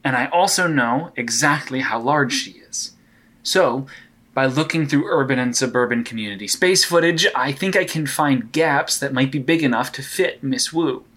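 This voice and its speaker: A man's voice: mid-range voice, a bit bright, lightly acted. An actor playing a dry, wisecracking male vigilante detective who dictates case logs. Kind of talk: audio drama acting.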